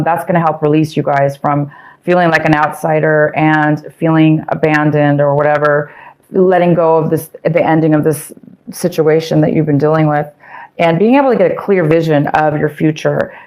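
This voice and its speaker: A woman's voice: low voice, darkish tone. Woman with a fading voice reading tarot. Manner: rambling, repeats phrases, stumbles over words. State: losing her voice. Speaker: tarot reader. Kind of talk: casual tarot reading to viewers.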